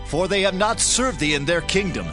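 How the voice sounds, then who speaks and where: A man's voice: high voice, expressive, moderate pace. A man playing a solemn penitent in a dramatized scripture reading, confessing in a formal, declamatory manner. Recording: studio reading under prominent music.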